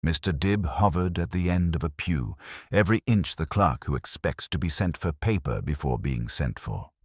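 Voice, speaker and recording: A man reading a literary mystery story as narrator, in a measured and deliberate storytelling manner. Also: very deep voice, animated, breathy edge, no echo, clean studio sound.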